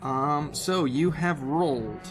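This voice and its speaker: A man acting as game master, narrating a tabletop game casually and informally, often pausing to think aloud. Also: fairly high pitch. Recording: music behind the voice. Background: game soundtrack music.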